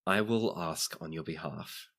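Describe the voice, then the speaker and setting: Guy doing a polite formal hospital attendant for a tabletop game, low voice, very deferential. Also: unhurried, gravelly, fairly bright tone, heavily acted. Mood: formal, dutiful. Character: courteous, dutiful male hospital attendant, formal and patient.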